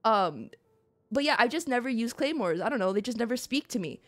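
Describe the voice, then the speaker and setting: A woman's voice: a little high, expressive, brisk, dry recording. A woman streamer chatting casually about her play in a rambling, self-deprecating way. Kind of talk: casual chat on a game livestream.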